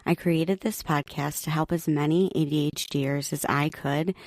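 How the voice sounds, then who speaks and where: A middle-aged woman hosting her own podcast, talking in a calm, steady monotone. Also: deep voice, normal speed, no echo, studio quality. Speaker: podcast host. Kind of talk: solo podcast talk. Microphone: home podcast mic.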